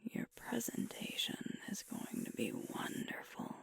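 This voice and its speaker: A woman playing a sweet, soothing werewolf girlfriend in an ASMR sleep-aid roleplay, whispering gently and reassuringly. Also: a little slow, no room sound, natural voice. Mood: tender, reassuring. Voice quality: breathy whisper.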